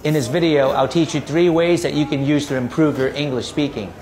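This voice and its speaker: A man whose first language is Cantonese, teaching English in a polished voice-over clip, speaking clearly and crisply. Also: fairly high pitch, fairly even intonation, fairly quick, a little mellow, low-quality recording. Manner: clear, crisp, carefully enunciated.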